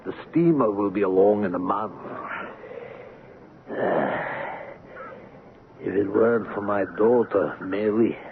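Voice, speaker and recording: Slow, weary middle-aged colonial trader. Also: male voice, fairly low pitch, vocal fry. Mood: weary, resigned. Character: weary, middle-aged European ivory trader, a sober widowed father. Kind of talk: 1970s radio drama acting. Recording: muffled, noisy 1970s AM radio recording.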